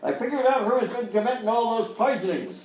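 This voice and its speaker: A man's voice: extremely high pitch, a little fast, darkish tone. A man playing an unfazed police chief on a telephone call in a live radio comedy sketch. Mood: matter-of-fact. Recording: echoey, distant mic, audience noise.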